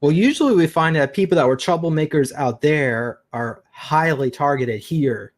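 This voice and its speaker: A man's voice: on the high side, lively intonation, normal speed. A man conducting a casual, conversational interview.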